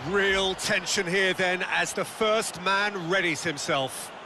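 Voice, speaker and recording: A man's voice: high voice, slow pace. A man doing lead play-by-play for a football video game, speaking in short dramatic phrases. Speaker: football match lead commentator. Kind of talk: scripted video game sports commentary. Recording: commentary under very loud stadium crowd noise. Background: loud football stadium crowd.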